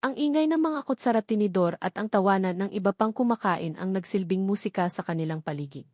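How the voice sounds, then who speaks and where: Female storyteller, scripted dramatic narration, mid-pitched voice, very dry close recording. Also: expressive, quick pace, darkish tone, no room sound.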